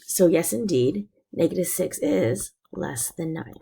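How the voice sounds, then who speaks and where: A woman teacher walks through a math lesson patiently, one step at a time. Her voice is low, raspy and gravelly from a cold. Her pitch still moves up and down a lot as she explains. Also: expressive, measured pace, warm tone. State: hoarse from illness.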